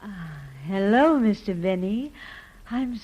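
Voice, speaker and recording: Very slow, sultry wife. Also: female voice, mid-range voice, animated, a little mellow. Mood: sultry, gracious. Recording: muffled, very noisy old radio recording.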